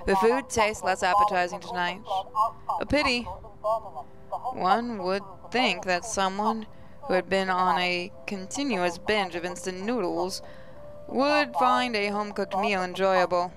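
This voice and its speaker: A woman's voice: mid-range voice, measured pace, rough recording. A woman gaming host reads a visual novel aloud as dramatic, emotive first-person narration.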